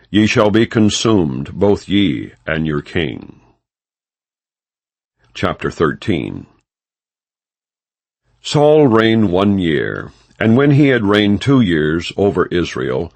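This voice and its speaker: A man's voice: low-pitched, dry recording. A man narrating scripture as an audiobook, reading in a solemn, formal, non-dramatized manner.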